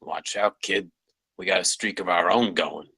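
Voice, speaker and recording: An actor playing a laid-back, cynical older campus guard with a gravelly, husky voice in a comedy table read. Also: male voice, deep voice, moderate pace, sharp tone, exaggerated voice. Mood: wry.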